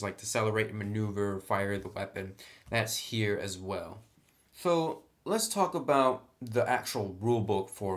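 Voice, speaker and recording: Man casually reviewing a game box. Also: on the low side. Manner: relaxed, plainspoken, says "actually" often. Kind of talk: casual product review, unboxing. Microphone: camera mic on a tripod.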